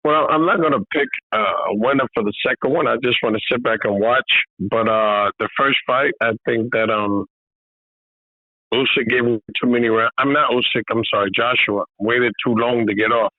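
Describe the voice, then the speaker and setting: Boxing trainer guest on a remote call, easygoing, loose "you know" phrasing, thin phone-line sound, dry. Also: male voice, mid-range voice, normal speed, no echo. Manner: relaxed, repeats "you know" often. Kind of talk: casual remote interview. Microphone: video call. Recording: tinny phone-like call audio.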